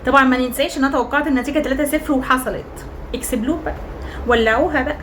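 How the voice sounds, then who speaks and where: A young woman with an Egyptian Arabic accent, hoarse from cheering, rating football players in a chatty, teasing, emphatic monologue. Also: high-pitched, rapid-fire, fairly bright tone, a little hiss or hum. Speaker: football fan vlogger.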